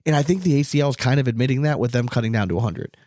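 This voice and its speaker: A man's voice: mid-range voice, very fast, a little mellow, no echo, clean studio sound. A man hosting a casual sports podcast, talking emphatically and opinionatedly and swearing freely. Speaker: podcast host.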